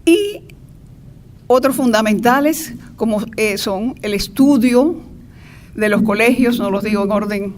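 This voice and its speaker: A woman's voice: fairly high pitch, a little slow. An older woman with a Cuban accent giving an informal, chatty introductory speech with playful asides. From Cuba. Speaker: speaker introducing a lecturer. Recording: noisy live event recording.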